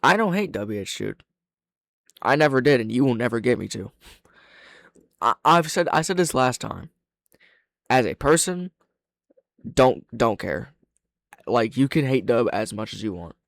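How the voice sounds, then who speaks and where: Guy reviewer just talking off the cuff, opinionated and sweary, dry sound, normal mid pitch. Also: animated, a little slow, vocal fry, pauses often, fairly bright tone, no room sound. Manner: blunt, opinionated, heavy swearing. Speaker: reaction host and video reviewer. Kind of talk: casual off-the-cuff reaction commentary.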